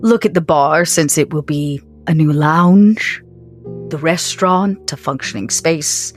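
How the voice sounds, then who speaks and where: Woman as a low, imperious vampire elder. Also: measured pace, faint music behind. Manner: clipped, imperious, formal. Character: cold, regal, vengeful century-old female vampire.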